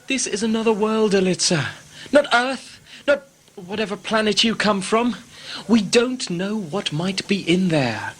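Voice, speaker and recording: A man acting a husky-voiced, doubting adventurer in a sci-fi audio play. He is wary and sceptical, the cautious one of the expedition. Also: high voice, animated, unhurried, fairly bright tone, full character voice. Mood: exasperated argument.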